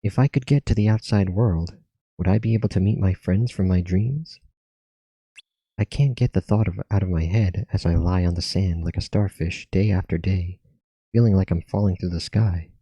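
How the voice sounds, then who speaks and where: A man's voice: sits low, moderate pace, mellow, slightly rough, no echo, low-quality recording. Man reading as a dreamy, restless island boy. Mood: wistful longing. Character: dreamy restless boy longing for the outside world. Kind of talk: reading game dialogue aloud.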